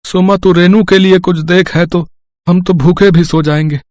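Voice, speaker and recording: A man's voice: sits high, steady tone, normal speed, a little mellow, dry recording, close to an everyday voice. A man voicing a poor but proud village farmer, a worried husband and father who speaks earnestly in a cartoon story. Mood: weary, sad. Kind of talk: animated moral story dialogue.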